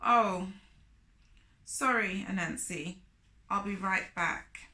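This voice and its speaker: A woman's voice: medium pitch, slow, sharp tone, echoey room, pitched below the performer's own voice. A woman voicing a meek, polite male turtle in a storybook, hesitant and apologetic. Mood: sorry.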